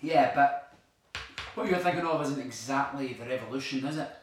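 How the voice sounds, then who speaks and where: An actor playing an angry, uncompromising climate activist in an audio play, preaching and arguing emphatically. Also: male voice, medium pitch, normal speed, close to an everyday voice. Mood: bitter, determined. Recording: very echoey room, distant, faint noise.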